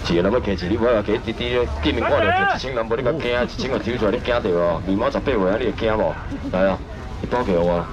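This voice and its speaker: A man running a live seafood auction at an outdoor night market stall, loudly shouting prices and sales patter. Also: muffled recording. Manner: loud rapid sales pitch, shouting prices. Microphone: wide camera in front of stall. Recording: noisy outdoor market, traffic behind.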